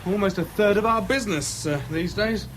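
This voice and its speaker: An actor playing a chatty, obliging British garage mechanic in a 1970s radio drama. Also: male voice, high-pitched, fairly quick, a bit bright, slight background noise.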